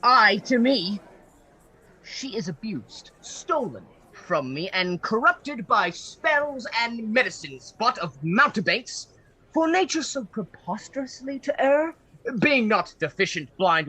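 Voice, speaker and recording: An actor playing an outraged, grieving elderly Venetian senator in a Shakespearean radio play, with emphatic, halting declamation. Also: medium pitch, big pitch swings, pushed and bright, husky, exaggerated voice, poor audio. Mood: anguished. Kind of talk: Shakespearean verse acting for radio.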